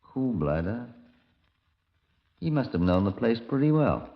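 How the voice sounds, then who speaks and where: An actor playing a brisk, commanding British chief inspector in a 1950s radio drama, middle-aged, clipped and authoritative. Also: male voice, mid-range voice, very animated, creaky, full character voice. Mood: brisk, probing. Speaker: detective narrator. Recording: dull, lo-fi old radio recording.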